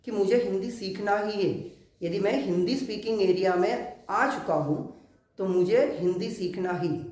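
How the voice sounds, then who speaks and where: A man from Kerala, teaching a spoken English grammar lesson in a slow, deliberate way with many English terms. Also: sits high, a little mellow. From Kerala, India. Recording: echoey room.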